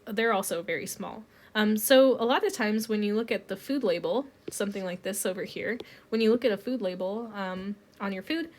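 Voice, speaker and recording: Woman teaching chemistry, getting over a cold. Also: mid-range voice. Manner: relaxed, explains step by step with many ums. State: recovering from a cold. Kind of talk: recorded lecture over slides.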